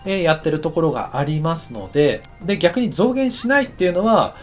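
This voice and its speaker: Man teaching casually, lively swinging intonation. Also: on the high side, a little fast, faint music behind. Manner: conversational, counts aloud while working. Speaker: animation director teaching. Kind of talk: casual instructional lesson.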